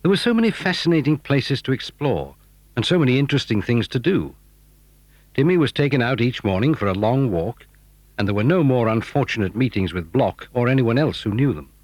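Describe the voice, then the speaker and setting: A British man narrating a children's story in a measured storytelling manner. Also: medium pitch, dry recording, poor audio. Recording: story cassette with faint music.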